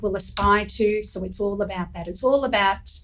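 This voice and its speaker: A woman's voice: mid-range voice, moderate pace. A middle-aged woman, a home stager and interior designer, talking casually in an interview and telling her story with animation. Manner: chatty storyteller, animated when recounting events.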